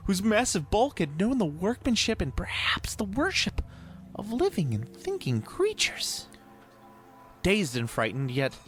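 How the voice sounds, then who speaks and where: A man reading a horror tale aloud as a dramatic audiobook narrator, in a deliberate, theatrical storytelling manner. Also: a little high, fairly bright tone. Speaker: podcast host reading a story. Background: ambient soundscape.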